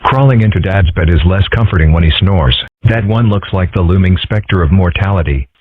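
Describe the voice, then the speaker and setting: Man playing philosophical young fox boy, deadpan, rough low voice, cartoon comic dub, tinny recording. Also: brisk, no room sound. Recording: muffled phone-like audio.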